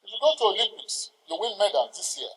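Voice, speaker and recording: An older man with a Nigerian accent gives a formal ceremonial speech, exhorting his listeners deliberately with emphatic pauses. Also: high-pitched, very animated, fairly bright tone. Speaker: senior lawyer addressing a ceremony. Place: large indoor courtroom. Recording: reverberant hall with long echo.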